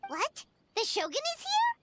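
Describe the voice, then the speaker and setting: Squeaky, excitable little fairy girl. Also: a little slow, soft background music, heavily acted. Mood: surprised, excited. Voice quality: squeaky, childlike. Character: small chirpy childlike fairy girl companion, curious and excitable. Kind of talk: English video game voice acting.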